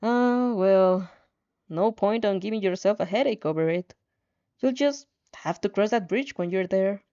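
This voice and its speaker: A woman's voice: mid-range voice, a little slow, dark tone, smooth. A woman narrating fan fiction expressively, with casual reactions, on a slightly dull, lo-fi recording.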